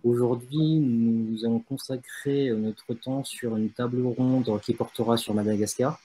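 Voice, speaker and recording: A young man hosting and moderating an online panel, introducing the guests in a halting way with frequent fillers. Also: mid-range voice, steady tone, dark tone, a little hiss or hum. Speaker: host, moderator.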